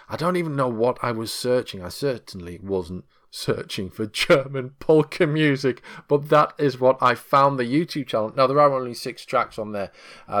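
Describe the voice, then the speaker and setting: A man's voice: medium pitch, a bit bright. A man presenting a podcast in a casual, chatty manner as its host.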